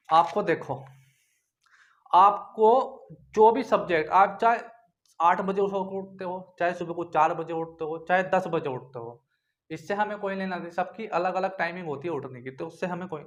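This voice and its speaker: Higher-voiced young man, informal tutor. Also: moderate pace, fairly bright tone. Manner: repetitive, frequently checks in with tag phrases. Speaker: exam-preparation tutor. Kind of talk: informal instructional talk to viewers. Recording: dull lo-fi sound.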